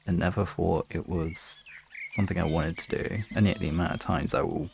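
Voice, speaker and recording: Low, creaky-voiced man chatting casually. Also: steady tone, moderate pace, darkish tone, a little hiss or hum. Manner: informal, rambling, swears casually. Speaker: ASMR streamer chatting with an audience. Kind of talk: casual chat, reading and answering comments.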